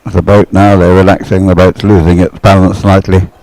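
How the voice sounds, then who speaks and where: A man's voice: deep voice, flat delivery, normal speed, a little mellow, dry recording. A man giving live race commentary, explaining things in a hesitant, unscripted way. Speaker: sports commentator.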